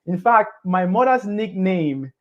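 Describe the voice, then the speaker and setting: A man talking as a podcast guest, his voice a little higher than most men's. He tells his life story in a relaxed, animated way and pushes his voice up on the points he stresses. The audio is dull and lo-fi. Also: takes it slowly. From Cameroon. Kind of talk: casual interview storytelling.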